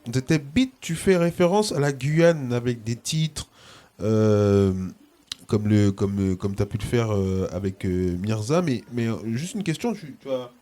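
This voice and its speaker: Halting male interviewer, mid pitch. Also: lively intonation, dark tone. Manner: halting, restarts questions. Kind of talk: casual interview questions.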